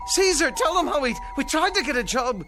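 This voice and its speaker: Radio drama role: desperate, timid failed performer, stammering pleas, gravelly edge, very animated pitch. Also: male voice, fairly quick, over music. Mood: anxious. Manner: stammering, pleading. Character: desperate, meek failed ventriloquist, pleading with his dummy.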